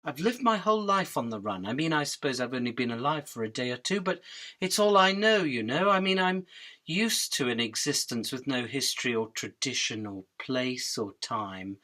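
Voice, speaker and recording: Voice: medium pitch, animated, moderate pace, pushed and bright. An actor playing a resigned, pedantic young housefly in a comic radio drama, rambling with wry philosophy. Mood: resigned, wistful.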